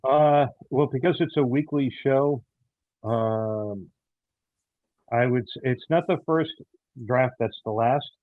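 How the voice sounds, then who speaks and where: A man answering as an interview guest, haltingly, often repeating words as he searches for phrasing. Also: medium pitch, dry recording. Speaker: audio drama writer, interview guest. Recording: tinny phone-like call audio.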